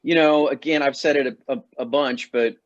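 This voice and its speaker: A male radio co-host chatting in a relaxed, casual way. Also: mid-range voice, moderate pace, no room sound. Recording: dull, dry sound.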